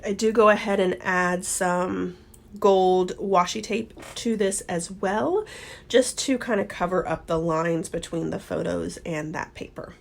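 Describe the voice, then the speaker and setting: A woman narrating her scrapbook layout step by step in a casual voice-over, her voice hoarse and crackly from being sick. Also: fairly low pitch, a little slow. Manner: relaxed, step-by-step explaining. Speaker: scrapbooking channel host.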